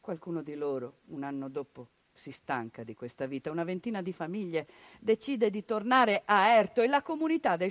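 High-voiced dramatic woman narrator. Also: dry recording. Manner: dramatic, shifting between hushed and declaimed. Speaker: stage monologue performer. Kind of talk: theatrical monologue narration.

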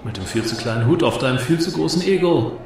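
A man's voice: on the high side, expressive, fast talker, a little mellow. A man in a radio play acting an arrogant cabaret provocateur who mocks his audience with sneering, theatrical delivery. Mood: scornful. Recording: echoey hall with audience crowd.

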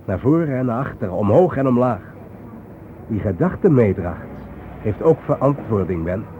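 An actor playing a solemn, reflective journalist in a radio play, speaking in grave, deliberate, philosophical phrases. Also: male voice, medium pitch, big pitch swings, lightly acted. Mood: grave, urgent. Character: solemn, reflective male journalist haunted by war dead. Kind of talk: radio drama acting. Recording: thin phone-like old radio recording, faint noise.

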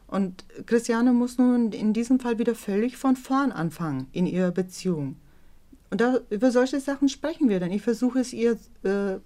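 A middle-aged woman speaking candidly and reflectively as a mother in a documentary interview, somewhat halting and often adding tag questions to seek agreement. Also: medium pitch, warm tone. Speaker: interviewee, mother of a teenage daughter.

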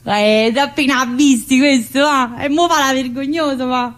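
An actress playing an earthy, wisecracking woman in prison, chatty and crude and swinging from loud outbursts to low muttering. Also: steady tone, normal speed. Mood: cheeky. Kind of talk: stage monologue.

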